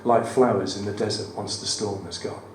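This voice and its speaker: A man with a West Midlands accent and a croaky voice, reading his poems at a live event with wry, self-deprecating banter. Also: lowish voice, fairly even intonation, normal speed, recorded from a distance. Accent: West Midlands English. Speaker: performance poet. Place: studio theatre at a live cabaret event. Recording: roomy live venue, mic from afar, audible room noise. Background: audience ambience.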